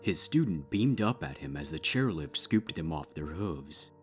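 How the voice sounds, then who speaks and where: A man reading dark fiction as a scripted narrator, measured and with dramatic pauses. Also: very deep voice, big pitch swings, breathy edge. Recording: music behind the voice.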